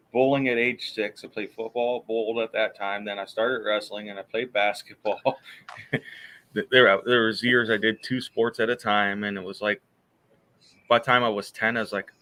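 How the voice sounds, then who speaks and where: Laid-back guy rambling on a podcast, lowish voice with a bright edge. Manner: rambling, easygoing, chuckles often. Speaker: military veteran. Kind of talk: casual chat.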